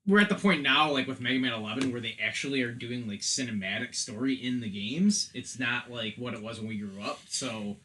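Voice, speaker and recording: A man's voice: medium pitch, brisk, few pauses, pushed and bright, slight background noise, rough recording. A man co-hosting a podcast in casual, opinionated chat. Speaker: podcast co-host.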